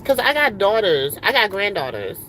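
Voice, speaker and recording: A woman's voice: mid-range voice, sing-song, moderate pace, fairly bright tone, slight background noise, rough recording. A middle-aged woman ranting on a casual livestream from a car, heated and rambling with many fillers. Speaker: livestream host.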